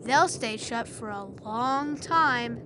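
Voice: mid-range voice, animated, slow, sharp tone. A performer voicing a brave, earnest boy in a video game, with a determined manner. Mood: confident. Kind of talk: video game character acting. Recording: train noise behind.

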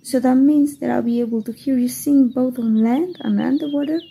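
A shy, giggly young fairy who keeps stumbling over her words, her voice a little high but soft and mellow, as a woman voices her. Faint birdsong drifts behind her in this gentle ASMR roleplay. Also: normal speed, vocal fry, a little hiss or hum. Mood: flustered. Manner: giggly, bashful, stammering. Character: playful flustered young female fairy. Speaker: voice actor.

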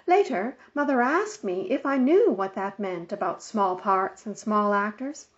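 A woman's voice: medium pitch, animated, measured pace. A woman narrating a children's storybook as a scripted read-aloud. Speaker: audiobook narrator. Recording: dull, muffled lo-fi audio.

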